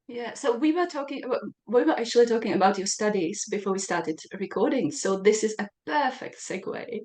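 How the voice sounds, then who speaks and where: A woman's voice: medium pitch, animated, brisk, a little mellow. A woman from the hosts an interview podcast. She is playful and laughs often. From Czech Republic. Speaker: podcast host. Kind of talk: casual interview chat.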